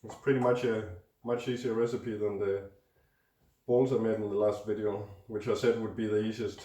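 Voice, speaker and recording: Man, hoarse and congested, chatty cooking host, echoey kitchen, mic set back. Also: on the low side, little pitch movement, normal speed, warm tone. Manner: chatty, talks while mixing food. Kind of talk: casual cooking demonstration. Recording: roomy echo, distant mic, faint noise.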